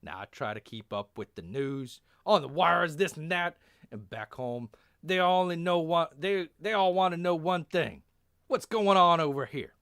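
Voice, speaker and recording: A man playing an earnest army lieutenant, speaking with a Texan accent in a forceful, folksy speechifying way. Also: a little high, lively intonation, moderate pace, clear, resonant tone, no room sound, studio quality, pitched above the performer's own voice. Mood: defiant, forceful.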